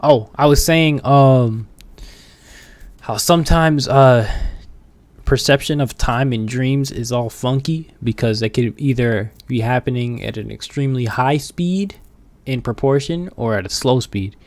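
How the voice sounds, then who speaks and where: A man's voice: mid-range voice, measured pace, darkish tone, dry recording. A man chatting casually as a game streamer, laid-back and slangy. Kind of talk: casual livestream chat.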